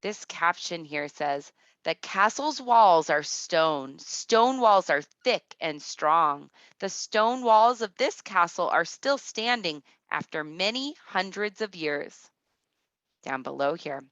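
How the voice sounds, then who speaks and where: A woman teacher reading aloud to kindergartners, speaking deliberately and instructively, with asides addressed directly to the children. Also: on the low side, animated, fairly bright tone, dry recording, lo-fi sound.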